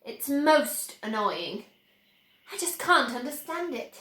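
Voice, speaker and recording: A woman voicing a calm, eccentric man who runs a candy factory, speaking matter-of-factly in a storybook reading. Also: high voice, slow, exaggerated voice. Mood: calmly regretful. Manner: unbothered, matter-of-fact. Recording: roomy echo.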